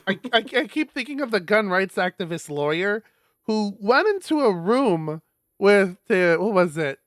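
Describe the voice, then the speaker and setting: A man's voice: sits high, big pitch swings, a little slow, a bit bright, no echo, clean studio sound. A man reading a visual novel aloud on a stream, expressively, with casual sweary asides.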